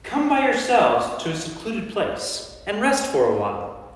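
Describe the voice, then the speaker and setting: A man playing a calm, commanding teacher in an amateur staged scripture reading. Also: high-pitched, a little slow, heavily acted. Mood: instructing. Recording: echoey church hall with long reverb.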